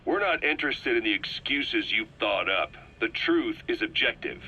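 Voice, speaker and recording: An actor playing a cold, calculating military interrogator in a video game cutscene, speaking with raspy, deliberate menace as he accuses. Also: mid-range voice, lively intonation, bright, forceful tone, muffled recording, exaggerated voice. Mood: cold accusation.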